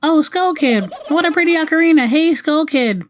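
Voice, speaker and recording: A video gamer voicing a small boy fairy in a high, girly, nasal voice, sweet and pleading. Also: animated, moderate pace, a bit bright, a little hiss or hum. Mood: eager, coaxing. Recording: game music behind.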